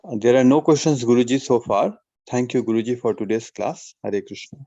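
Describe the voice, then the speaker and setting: A man at an average pitch speaks as a class moderator, making polite and formal announcements. His video call audio is dry and slightly dull. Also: brisk, mellow, dry recording.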